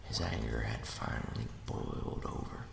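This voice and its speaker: Sinister ghost storyteller played by a man: extremely low, gravelly voice, folksy menace, spooky ASMR roleplay. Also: animated, background noise, full character voice. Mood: ominous storytelling. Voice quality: breathy, gravelly whisper. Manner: slow, deliberate, hushed, folksy and menacing. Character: sinister ghost of a murdered right-hand man, spinning a spooky tale. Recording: reverberant, cavernous echo.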